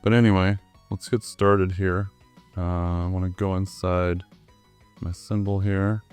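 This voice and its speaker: A hoarse man, still getting over the flu, talks slowly in a very low, smooth voice. He walks through his animation work in a relaxed way, with plenty of ums. Faint music plays behind him. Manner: relaxed, with many ums and uhs. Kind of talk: screen-recorded tutorial walkthrough.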